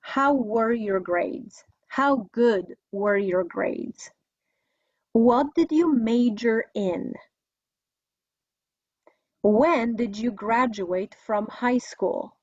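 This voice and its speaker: A woman's voice: on the high side, drawn-out words, pauses often, dry recording. A middle-aged woman with a Polish accent giving an English language lesson, enunciating carefully in an instructional way. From Poland. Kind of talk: scripted video lesson with listen-and-repeat drills. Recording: dry, slightly dull lo-fi recording.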